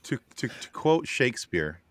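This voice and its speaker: A man's voice: mid-range voice, very animated, moderate pace. A man in a casual panel chat, musing as he goes and often repeating words. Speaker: podcast panelist.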